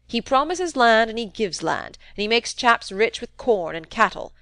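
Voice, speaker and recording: A woman voicing a cantankerous, domineering old country man who talks in a loud, emphatic, wheedling way. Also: fairly high pitch, very animated, moderate pace, no echo, voice pushed up.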